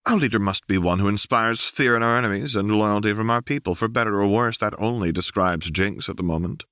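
A man's voice: lowish voice, a little fast, a bit bright, clear, resonant tone, no echo, clean studio sound, pitched above the performer's own voice, lightly acted. A man voicing a stern male crime baron in an audiobook, speaking in a grave, declamatory way. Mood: solemn, decisive.

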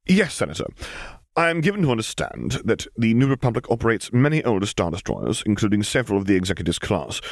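A man voicing an earnest, courteous male admiral who speaks in a formal, measured way, in an audiobook. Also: lowish voice, very animated, no echo, clean studio sound, pitched above the performer's own voice. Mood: formal, careful. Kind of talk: audiobook character voice.

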